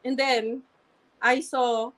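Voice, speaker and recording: A woman's voice: a little high, level tone, very slow, fairly bright tone, poor audio. A woman guest with a strong non-native English accent, telling her story earnestly and often repeating and restarting phrases. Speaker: interview guest, registered nurse and online marketer. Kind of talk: candid personal story in conversation.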